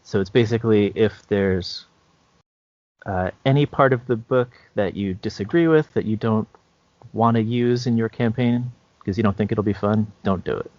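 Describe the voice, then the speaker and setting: A man co-hosting a podcast, explaining things informally and a little haltingly. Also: lowish voice, darkish tone, dry recording. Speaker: podcast co-host. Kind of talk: casual podcast chat. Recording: dry, dull lo-fi recording.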